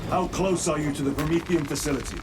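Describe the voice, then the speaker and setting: An actor playing a stern, steadfast warrior squad leader in a video game, commanding, formal and measured, with loud music behind. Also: male voice, fairly high pitch, very noisy, close to an everyday voice. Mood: stern, resolute. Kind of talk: video game cutscene acting.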